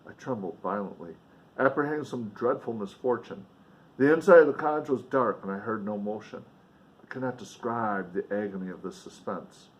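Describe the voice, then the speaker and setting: A man's voice: lowish voice, moderate pace, low-quality recording. A man reading a novel aloud in a dramatic, emphatic way, with casual offhand commentary along the way. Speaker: reader and commentator.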